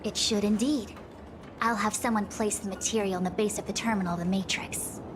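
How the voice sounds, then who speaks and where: A woman playing a composed, scholarly young master diviner in a video game, speaking formally in measured, articulate phrases. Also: mid-range voice, steady tone, background noise, lightly acted. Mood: formal, inquiring. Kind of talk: scripted video game dialogue. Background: background music.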